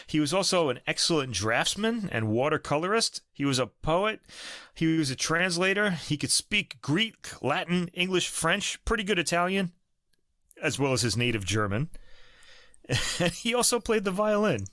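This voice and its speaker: A man's voice: fairly high pitch, very animated, moderate pace, bright, forceful tone, no echo, clean studio sound. A man hosting a podcast, chatting casually about classical recordings, rambling with many fillers and restarts. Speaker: podcast host.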